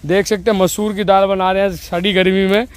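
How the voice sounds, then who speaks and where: A man's voice: sits high, level tone, fairly quick. A young man, chatting upbeat to viewers while walking around outdoors with a phone camera. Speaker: vlogger interviewing scout trainees. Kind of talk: walking vlog narration and interviews. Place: outdoors in rural fields and woods. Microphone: phone camera held at arm's length.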